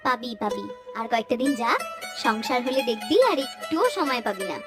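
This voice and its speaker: A woman's voice: a little high, a little fast, loud music behind the voice, natural voice. A woman voicing an affectionate, hospitable village aunt in a cartoon, coaxing and teasing as she fusses. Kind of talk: Bengali cartoon dialogue.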